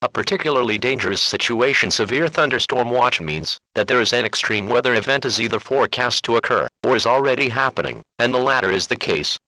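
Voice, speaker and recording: A man's voice: mid-range voice, animated, a little fast, few pauses, pushed and bright, no echo, rough recording. A man playing a serious local TV weatherman, delivering a scripted weather report.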